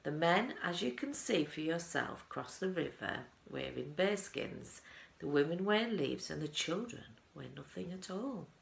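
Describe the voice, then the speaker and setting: Brief: eccentric storybook chocolatier, played by a woman, proud, emphatic, unhurried, in a roomy space. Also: sits low, animated, gravelly, full character voice. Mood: proud explaining. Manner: proud, emphatic, relishing the tale.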